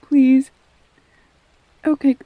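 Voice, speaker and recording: A woman playing a tender, flustered girlfriend in an intimate roleplay audio, speaking softly and haltingly, often slipping toward a whisper. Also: high voice, level tone, no room sound, narrow, tinny audio. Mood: giddy, excited. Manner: halting, stammering, soft-spoken, breaking into whispers. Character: tender, flustered girlfriend blurting out a marriage proposal.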